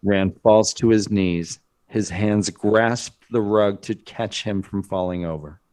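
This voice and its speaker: Slow, low-voiced male narrator. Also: a little mellow. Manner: dramatic, deliberate. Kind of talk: scripted screenplay narration.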